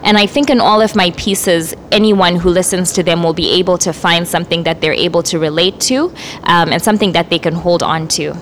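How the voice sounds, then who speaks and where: A woman's voice: on the low side, a little hiss or hum. A young woman from Zimbabwe, a spoken word artist, introducing herself earnestly on community radio with many fillers. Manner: earnest, measured, with frequent fillers.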